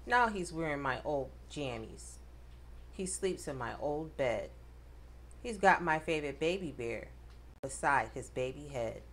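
A woman reading a rhyming picture book aloud to children, with expressive, dramatic emphasis. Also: low-pitched, measured pace. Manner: addresses children directly, dramatic emphasis on rhymes.

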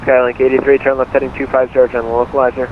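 A man is directing aircraft as a controller over a narrow, muffled radio channel. His phrasing is clipped and procedural, delivered quickly, with a steady drone of noise behind it. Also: mid-range voice. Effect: radio transmission. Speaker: air traffic controller. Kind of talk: radio air traffic instructions.

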